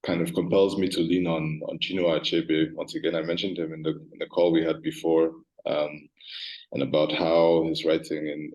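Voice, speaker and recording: A man moderating an artist talk, speaking thoughtfully and haltingly with frequent ums. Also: very deep voice, a little mellow, rough recording.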